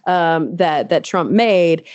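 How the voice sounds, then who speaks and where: A young adult woman hosting a casual podcast interview, chatty and animated, laughing often and using fillers like "like" and "um". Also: lowish voice, takes it slowly, no echo, dull audio. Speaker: podcast host.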